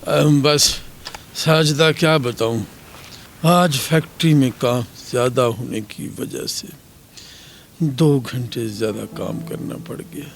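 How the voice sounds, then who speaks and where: Man acting a weary, gravelly, poor working father. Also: medium pitch, slow. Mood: weary, lamenting. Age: 30s. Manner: sighing, lamenting, emotive delivery. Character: poor, weary, asthmatic factory worker father, 37, loving. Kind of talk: radio drama acting.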